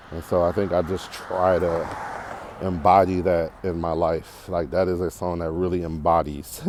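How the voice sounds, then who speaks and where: An American man in his forties talking casually and unscripted as a podcast host, in a reflective, halting, rambling way while walking outdoors. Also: sits low, warm tone. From Detroit area, United States. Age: 40s. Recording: clearly noisy outdoor walking recording.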